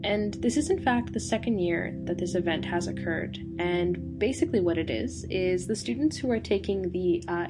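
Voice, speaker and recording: A young woman student hosting a radio special, presenting in a relaxed way with frequent fillers. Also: on the low side. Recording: guitar music playing underneath.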